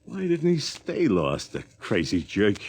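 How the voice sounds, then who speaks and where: A man's voice: lowish voice, huge pitch swings, measured pace, a little mellow, breathy edge, full character voice. An actor playing a crude, callous American spaceman in 1950s radio drama style, slangy and scornful. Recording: noisy old 1950s radio recording.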